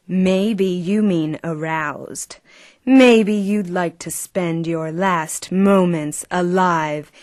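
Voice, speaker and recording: A woman's voice: on the low side, no room sound, clean studio sound. A woman playing an arrogant, seductive clone in an audio drama, wordy and taunting in manner. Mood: seductive menace.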